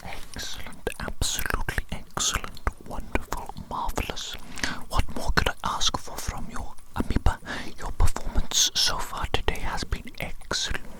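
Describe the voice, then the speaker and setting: A performer playing a politely sinister, condescending doctor in an ASMR roleplay, whispering breathily in a deliberate, repetitive, formal way. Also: close to an everyday voice, rough recording. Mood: pleased, patronising. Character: evil doctor giving a hearing test, politely menacing, condescending.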